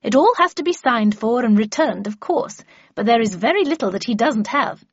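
A woman voicing a brisk, bossy, cheerful older lady mentor in an audiobook. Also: on the high side, lively intonation, husky. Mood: brisk, gracious. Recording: muffled audio, roomy echo.

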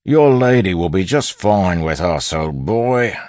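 A man's voice: low-pitched, lively intonation, a little slow, darkish tone, no room sound, pitched above the performer's own voice. A man voicing a menacing, mocking half-monster bandit thug in an audiobook, bullying and taunting. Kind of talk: audiobook character acting.

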